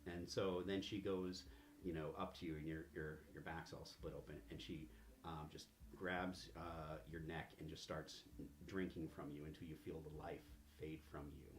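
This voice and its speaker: A man improvising narration as game master of a tabletop game, in a halting, drawn-out way with pauses. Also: very deep voice, flat delivery. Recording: faint background noise.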